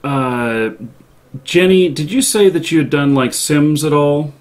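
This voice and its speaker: A man hosting a gaming livestream, chatting casually in a halting, hesitant way. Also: a little high, darkish tone. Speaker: livestream show host.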